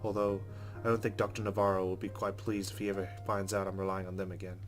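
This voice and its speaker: A man reading visual novel narration aloud as a let's player, measured and reflective, with music playing behind him. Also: sits low, level tone.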